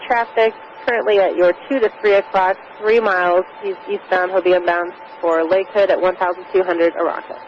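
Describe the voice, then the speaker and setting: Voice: mid-range voice, level tone, a little fast, hardly any pauses. An air traffic controller giving clipped, procedural traffic advisories over an aviation radio. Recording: muffled radio with faint static.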